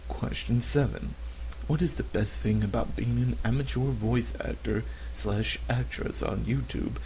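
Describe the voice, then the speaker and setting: A man's voice: medium pitch, steady tone, unhurried, warm tone, slight background noise, rough recording. A man in his thirties with an American accent, an amateur voice actor giving a question-and-answer monologue on a cheap microphone. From United States. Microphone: cheap flexible desktop microphone.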